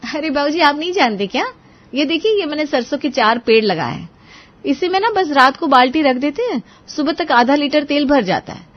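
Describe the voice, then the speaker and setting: A woman voicing a sharp-tongued, scheming young daughter-in-law in a cartoon moral story, sarcastic and emphatic. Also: high voice, lively intonation, brisk, dull audio. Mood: sly, coaxing. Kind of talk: animated Hindi moral story dialogue.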